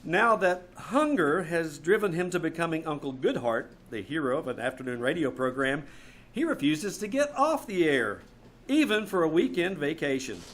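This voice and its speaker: A man's voice: a little high, sing-song, moderate pace, a bit bright, slight background noise. A man announcing a scripted old-time radio show in a theatrical, showman-like manner. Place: small theater stage, live performance.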